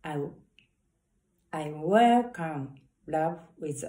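A woman in her thirties with a strong Japanese accent, slowly reading love affirmations to a phone camera in a small room, with earnest, halting English and frequent self-corrections. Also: low voice, animated, dark tone. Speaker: saxophonist vlogger. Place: corner of a small room indoors. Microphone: phone camera at arm's length.

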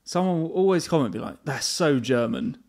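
Casting note: young Englishman, gravelly fry in the voice, easygoing podcast co-host. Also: on the high side, expressive, brisk, a little mellow. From England. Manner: easygoing, unsure guesses, laughs along. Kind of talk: casual chat.

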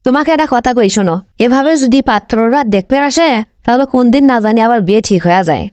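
Woman as pushy young girlfriend, north bengal accent, talks fast n high, coaxing, cartoon comedy. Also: lively intonation, a little mellow, close to an everyday voice. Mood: upset, insistent. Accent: North Bengal Bangladeshi. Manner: insistent, coaxing pleading. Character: pushy, lovestruck young village girlfriend and new bride.

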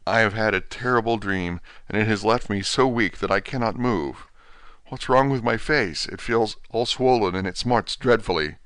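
A man's voice: lowish voice, normal speed, a bit bright, smooth, dry recording, lightly acted. A man voicing a dying asylum patient, weak and frantic, pleading in urgent gasps. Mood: dazed. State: weak, near death.

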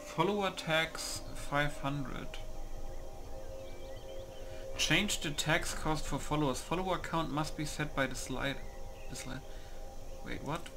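Slow, creaky-voiced man muttering through game settings. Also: a little high, background noise. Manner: muttering to himself, casual swearing. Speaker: gamer recording a mod setup. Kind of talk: casual game commentary, reading settings aloud.

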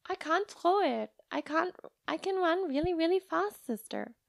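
A woman's voice: very high-pitched, lively intonation, normal speed, vocal fry, no room sound, clean studio sound, pitched above the performer's own voice, exaggerated voice. A woman voicing a sulky, earnest little girl in a storybook reading, pouting and petulant. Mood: pouting, insistent. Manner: petulant, childlike phrasing.

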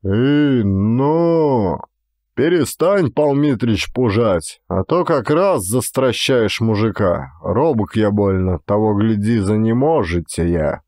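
A man's voice: on the low side, animated, slow pace, darkish tone, no echo, clean studio sound, pitched below the performer's own voice. A man voicing a stolid, sly peasant Cossack daredevil who plays innocent, with serious rustic folk speech, in a dramatised audiobook. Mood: defiant, sly. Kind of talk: dramatised audiobook character acting.

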